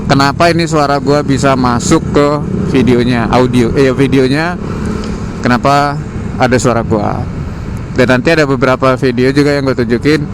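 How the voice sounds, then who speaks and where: A man, chatting informally in a halting solo vlog while riding, often repeating words for emphasis. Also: mid-range voice, steady tone. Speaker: motovlogger and product reviewer. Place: outdoors, riding a motorcycle on the road. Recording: loud motorcycle and road noise. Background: engine, traffic and outdoor noise.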